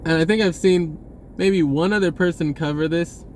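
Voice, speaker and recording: A man talking casually and off the cuff to viewers, halting and often repeating his words. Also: a little high, a little hiss or hum. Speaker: spiritual video blogger. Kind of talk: casual unscripted monologue to viewers.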